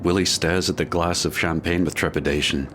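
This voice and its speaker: A man narrating a scripted audio drama in a measured, dramatic manner. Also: sits low, steady tone, noisy background.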